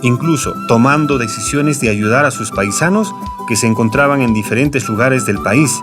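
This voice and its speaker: A man's voice: medium pitch, moderate pace. Male narrator over music. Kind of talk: scripted radio narration. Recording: music under the voice. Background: instrumental music.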